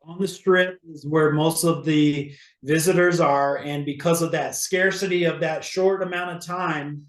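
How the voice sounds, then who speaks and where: Guy from hawaii, real estate agent, kinda high voice, rambles with lots of um and you know, roomy echo. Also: fairly even intonation, measured pace. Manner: rambling, many restarts and fillers. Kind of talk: casual interview talk.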